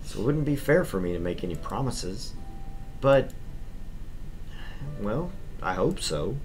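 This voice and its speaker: Man playing a wry teenage boy, very animated. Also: on the low side, measured pace, faint music behind, poor audio. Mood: tender, hesitant. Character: teenage boy, wry, caring, self-deprecating, gently teasing. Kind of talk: visual novel dialogue and first-person narration.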